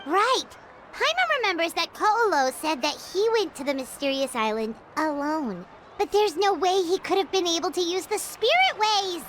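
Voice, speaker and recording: A woman's voice: extremely high pitch, very animated, moderate pace, noisy background. A woman voicing a tiny floating fairy companion who talks about herself in the third person, in game dialogue. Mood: eager. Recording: game audio with music behind.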